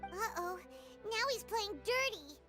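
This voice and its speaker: A woman voicing a tiny, excitable, childlike companion sprite in squeaky, exclamatory video game dialogue. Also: slow, music in the background, exaggerated voice. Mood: wary.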